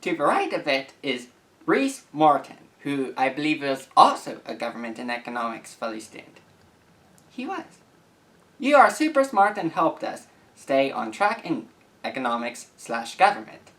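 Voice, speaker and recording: A young man of about eighteen reading messages aloud and commenting to camera as a YouTuber, with an earnest, deliberate, halting delivery. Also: medium pitch, very animated, a bit bright, recorded from a distance. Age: young adult, about 18.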